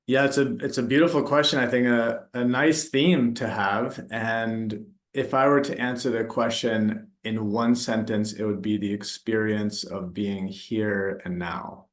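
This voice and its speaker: Calm American man in a roomy space. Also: on the low side, a little slow, hardly any pauses. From United States. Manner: calm, thoughtful, searching for words. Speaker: somatic therapist, workshop facilitator. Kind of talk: reflective interview answers. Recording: roomy echo.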